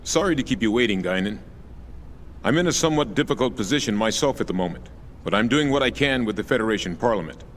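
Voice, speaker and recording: An actor playing a composed older government statesman in a video game, with calm, courteous and formal speech. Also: lowish voice, fast talker, slight background noise, close to an everyday voice.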